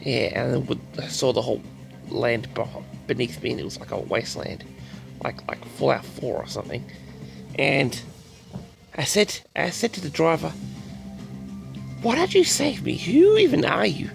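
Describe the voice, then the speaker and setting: Very gravelly, raspy man, tired. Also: medium pitch, big pitch swings, normal speed, pauses often, over music. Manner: rambling, repeats and restarts sentences. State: tired, raspy from a late night. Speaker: audio log host. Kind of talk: casual rambling chat to audience.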